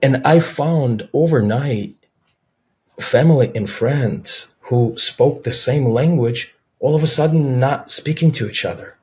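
A man in his forties with a Belarusian accent, his first language Russian, speaks as a guest on a webcam call. He explains things carefully and thoughtfully at a mid-range pitch. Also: a little slow, a little mellow. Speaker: film director guest. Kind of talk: interview answers. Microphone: video call, webcam.

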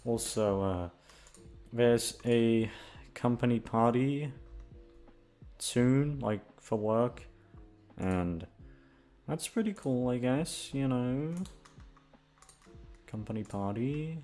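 A young man chatting casually in a rambling outro, halting and aimless, swearing freely and putting himself down. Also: medium pitch, a little mellow. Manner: aimless, halting, profane, self-deprecating. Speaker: audio voice actor, channel host.

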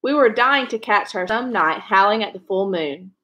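A woman's voice: medium pitch, animated, normal speed, bright, forceful tone, muffled recording. A young woman reading a children's novel aloud in a storytelling style, indoors at home. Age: young adult.

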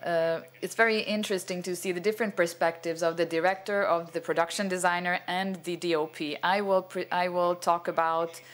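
Older man, halting lecture, fillers, stand mic on a cinema stage. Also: high voice, level tone, a bit bright. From Venezuela. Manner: hesitant, many fillers and restarts, gestures broadly. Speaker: cinematographer giving a masterclass. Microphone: stand microphone.

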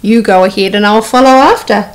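A woman's voice: mid-range voice, a little fast, natural voice. A woman voicing a big, amused, gullible male monster in a children's storybook.

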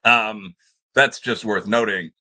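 A man's voice: on the low side, steady tone, takes it slowly, sharp tone. A middle-aged man on a panel video call, arguing off the cuff in an academic, discursive and emphatic manner. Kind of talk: extemporaneous panel discussion argument. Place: indoor room with bookshelves, on a video call.

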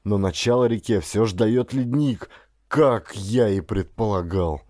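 A man voicing an excitable middle-aged explorer father and scientist in an audiobook, exclaiming with rapturous emphasis. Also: fairly low pitch, unhurried, no echo, studio quality. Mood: elated, excited. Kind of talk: audiobook character acting.

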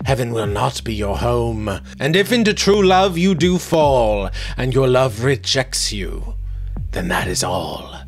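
A man's voice: mid-range voice, sing-song, measured pace, soft background music, voice pushed up. A petite, bitter old sea witch from a fairy tale, played by a man reading aloud. The voice is lifted well above a natural male register and snaps out each phrase with imperious, cruel scorn. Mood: cruel gloating. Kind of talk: dramatic storybook reading.

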